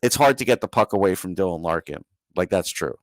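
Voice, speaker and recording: A man's voice: deep voice, expressive, brisk, dry recording. A man co-hosting a sports podcast, talking through analysis in a conversational way.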